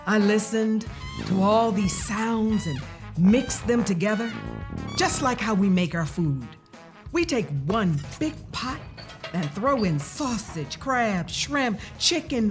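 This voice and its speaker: A woman reading a children's story aloud in the first person, with deliberate, expressive storybook delivery. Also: on the low side. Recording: music playing behind the voice. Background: music.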